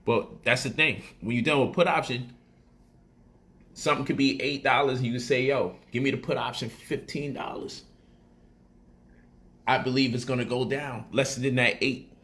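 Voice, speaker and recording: Animated, slangy man with a bright voice. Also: medium pitch, normal speed, long pauses. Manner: animated, slangy, repeats phrases for emphasis. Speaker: speaker explaining stock options to viewers. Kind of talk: casual livestream talk.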